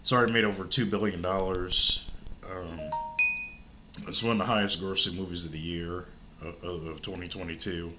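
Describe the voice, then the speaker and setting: A man's voice: low-pitched, steady tone, normal speed, sharp tone, slight background noise, poor audio. An older man with a stuffy, congested nose, hosting his movie channel from home in a rambling, chatty way. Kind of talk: casual vlog chat.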